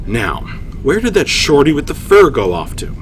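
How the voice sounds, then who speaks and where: A man voicing a blunt, hearty warrior woman from a fantasy storybook, loud and booming. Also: huge pitch swings, normal speed, slight background noise. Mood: hearty approval.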